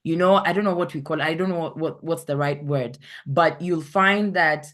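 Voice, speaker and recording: A woman's voice: sits low, normal speed, a bit bright. A woman with a Kenyan accent, a content creator talking casually on an online panel, often searching for the right word. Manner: chatty, searches for words, acts out anecdotes.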